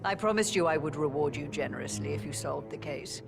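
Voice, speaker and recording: A woman's voice: sits low, brisk, gravelly, over music. An actress playing a cold, commanding older woman who heads a rich family, speaking in an imperious, clipped way in video game dialogue. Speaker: wealthy family matriarch.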